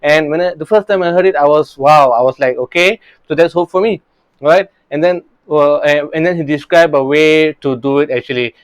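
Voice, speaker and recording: A man's voice: fairly high pitch, moderate pace, clear, resonant tone, no room sound. A man in his thirties from Singapore, a real estate coach talking earnestly about his early career, often restarting his sentences. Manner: earnest, many restarts and "you know, right?" tags.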